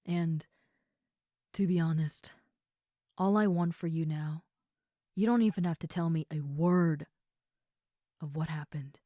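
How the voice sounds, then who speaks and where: Woman as a slow-talking, gentle ogre roommate. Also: deep voice, no room sound, clean studio sound. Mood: tender, sincere. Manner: soothing, earnest, hushed at times, with pauses and sighs. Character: gentle, caring seven-foot-six muscular female ogre roommate. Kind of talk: intimate comfort roleplay audio.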